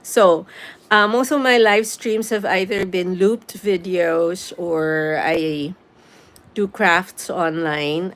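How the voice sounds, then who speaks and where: A woman's voice: lowish voice, fairly bright tone. A middle-aged woman from the Philippines with a Filipino accent, chatting casually with viewers on a live stream and slipping in Tagalog phrases. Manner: chatty, mixes Tagalog phrases, reads comments aloud. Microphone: phone.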